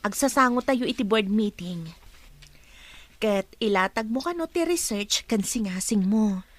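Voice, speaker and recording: An actress playing a wary, probing, businesslike office senior in a radio drama. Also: female voice, medium pitch, lively intonation, a little slow, soft background music, natural voice.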